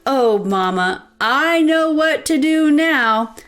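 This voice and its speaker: A woman voicing an eager young farm boy who calls out excitedly in a children's storybook. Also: high voice, slow, pitched above the performer's own voice, heavily acted. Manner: shouting, enthusiastic. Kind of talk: children's storybook read-aloud.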